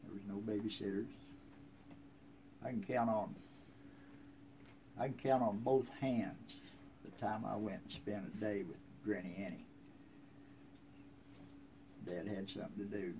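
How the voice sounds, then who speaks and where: A man's voice: lowish voice, fairly even intonation, a little slow, long pauses, warm tone, poor audio. A man in his seventies with a rural American accent, casually reminiscing in a folksy, plainspoken way out on a porch. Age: 70s. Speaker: interviewee telling family history. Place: outdoors on a covered wooden porch. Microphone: handheld phone a few feet away. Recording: outdoor ambient noise.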